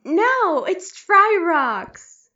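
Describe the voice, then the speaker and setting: A woman's voice: extremely high pitch, very animated, extremely slow, bright, forceful tone, dull audio, heavily acted. A woman playing an upbeat, goofy young friend in an audio drama, casual, jokey and encouraging. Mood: playful. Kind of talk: amateur audio drama acting.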